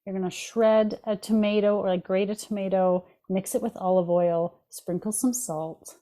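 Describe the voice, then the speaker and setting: A woman co-hosting a cooking podcast, explaining things in a relaxed, chatty way. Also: mid-range voice, normal speed. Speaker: podcast co-host. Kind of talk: casual podcast conversation.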